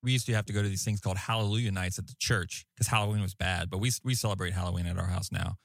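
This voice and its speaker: A man's voice: deep voice, fairly even intonation, racing, gravelly, no echo, studio quality. A man chatting casually as a podcast co-host. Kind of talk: casual chat.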